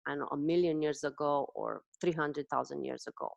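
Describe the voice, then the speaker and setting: A lower-voiced woman with a Romanian accent talks through her ideas slowly, pausing and restarting as she hunts for the right word. The sound is dry and close, with no room around it. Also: creaky, no echo, muffled recording. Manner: thoughtful, searching for words with frequent restarts. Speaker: host, dietitian. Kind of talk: conversational interview.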